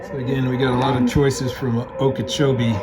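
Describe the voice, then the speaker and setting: A man's voice: mid-range voice, flat delivery, brisk. A man giving casual running commentary as a radio hobbyist, thinking aloud as he tunes. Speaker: shortwave radio hobbyist and host. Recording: loud radio static and hiss. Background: shortwave radio noise and signals.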